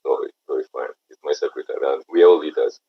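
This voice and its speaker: A man with a Ugandan accent, a Luganda speaker and chapter leader, giving halting remarks on an online meeting that switch between English and Luganda. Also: a bass voice, steady tone, slightly rough. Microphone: video call. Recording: dull lo-fi call audio.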